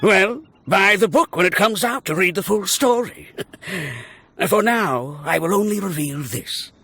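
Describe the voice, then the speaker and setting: A man's voice: high-pitched, animated, unhurried, bright, forceful tone, breathy edge, no echo, heavily acted. An actor playing a pompous, boastful male archaeologist in a video game, with a theatrical, declamatory delivery. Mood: grandly boastful. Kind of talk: video game character acting.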